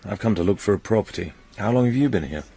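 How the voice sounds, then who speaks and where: Casting: smooth-mannered male spy, husky low voice, probing and flirtatious, for radio drama. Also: expressive, quick pace, dark tone. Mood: dry, flirtatious. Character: suave, probing British secret agent.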